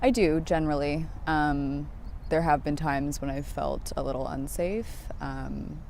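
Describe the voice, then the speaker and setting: A man answering street interview questions in a calm, measured way. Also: on the high side, creaky, a little hiss or hum.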